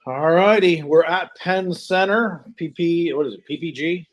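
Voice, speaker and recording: A man's voice: fairly high pitch, measured pace, muffled recording. A man hosting a live hockey stream, greeting viewers and chatting informally in a scattered, casual way. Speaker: live stream host calling hockey play by play.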